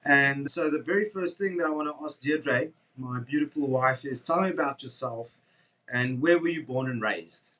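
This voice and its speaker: A middle-aged man puts relaxed questions to his guest. He prompts gently and keeps an easygoing tone. His voice sits back from the microphone. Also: mid-range voice, moderate pace, distant mic. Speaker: host and interviewer. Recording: voice set back from the mic.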